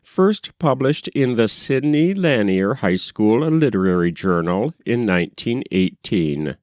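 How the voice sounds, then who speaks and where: A man reading a short story aloud as scripted narration, in a measured, expressive storytelling manner. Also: fairly low pitch, no room sound, studio quality. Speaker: narrator, audiobook reader.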